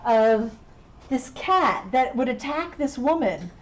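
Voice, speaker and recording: A woman's voice: high voice, big pitch swings, slow, a little hiss or hum. A middle-aged woman teaching a painting class, chatting and laughing as she works in an indoor studio. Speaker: painting instructor. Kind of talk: casual chat while painting live.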